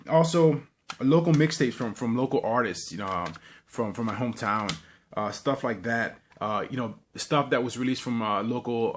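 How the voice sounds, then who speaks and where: A man talking casually and unscripted as a vlogger, in a halting, rambling way with repeated words. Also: medium pitch, a bit bright, a little hiss or hum. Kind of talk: casual unscripted show-and-tell.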